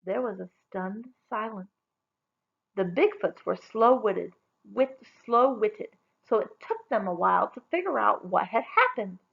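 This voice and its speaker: A woman reading a children's story aloud in an expressive storytelling style. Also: medium pitch, measured pace, gravelly, dull audio.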